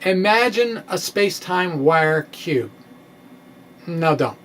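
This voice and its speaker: A middle-aged man reading his science blog dramatically, in a quirky, halting way with drawn-out pauses. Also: sits high. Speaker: physics video blogger. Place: small indoor room with bookshelves. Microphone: microphone on a stand in front of him. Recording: steady clear background noise.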